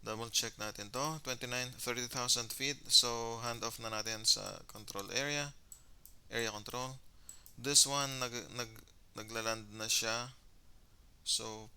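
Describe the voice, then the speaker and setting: Deep, bright-voiced Filipino man, gravelly. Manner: mixes Tagalog with English phrases. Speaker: gamer narrating his own gameplay. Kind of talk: casual game commentary, thinking aloud in Taglish.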